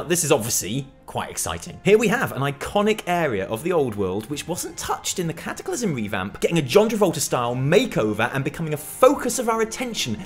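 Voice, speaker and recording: Animated man hosting gaming news. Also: fairly high pitch. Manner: theatrical, emphatic, sweary. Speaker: host of a gaming news show. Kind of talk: scripted comic news commentary.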